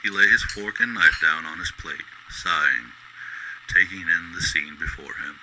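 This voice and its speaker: A man narrating a scripted radio drama in a deliberate, descriptive storytelling style. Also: rumbling low, pushed and bright, slight background noise, rough recording.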